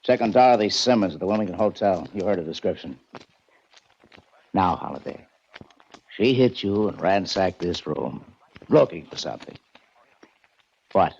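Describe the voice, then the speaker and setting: An actor playing a gruff, sarcastic police lieutenant in a 1940s radio drama, brusque and needling. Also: male voice, sits low, lively intonation, moderate pace, long pauses, a little mellow, exaggerated voice. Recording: muffled 1940s radio transcription.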